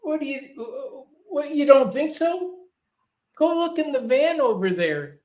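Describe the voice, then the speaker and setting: A performer playing a gruff, folksy small-town male sheriff with a colloquial, sarcastic manner at an amateur table read. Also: high voice, lively intonation, slow pace, darkish tone. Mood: folksy, dismissive.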